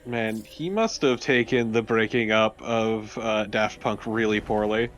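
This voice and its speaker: A man's voice: on the low side, unhurried, a bit bright. A man co-commentating on a video game with casual, joking banter and plenty of swearing. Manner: profane, joking. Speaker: let's play co-commentator.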